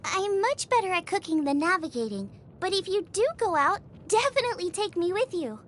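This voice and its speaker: A woman's voice: very high voice, sing-song, fairly quick, a little hiss or hum. A woman voicing a cheerful, eager teenage girl chef in a video game, chatty and enthusiastic. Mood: perky, eager. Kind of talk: video game character voice lines. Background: music.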